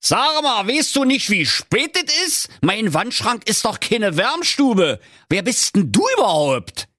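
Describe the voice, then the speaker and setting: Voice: mid-range voice, wildly swooping pitch, fairly quick, fairly bright tone, husky, no room sound, clean studio sound, pitched above the performer's own voice, exaggerated voice. A performer voicing a grumpy, fearful old male miser with a Berlin accent, indignant and pleading, in a comic storybook tale.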